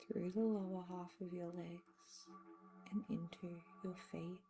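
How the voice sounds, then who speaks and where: A woman leading a guided meditation in a soft, soothing style, speaking in short, separated phrases with long pauses. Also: on the low side, flat delivery. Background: meditation music with singing bowls.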